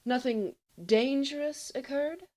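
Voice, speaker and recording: A woman's voice: sits high, takes it slowly, darkish tone, pitched above the performer's own voice. A woman voicing a sceptical school matron in an audiobook, firm and coaxing, trying to sound reassuring. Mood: flustered but firm. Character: stern but soothing, sceptical school matron. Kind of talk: audiobook character voice.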